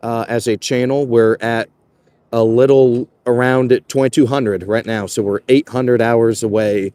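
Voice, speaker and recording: A man's voice: medium pitch, measured pace, no room sound. A man in his twenties hosting a casual livestream, chatting loosely while he walks and reads out viewer comments. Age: 20s. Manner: relaxed, loose, frequent "uh" and "man". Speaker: livestream host.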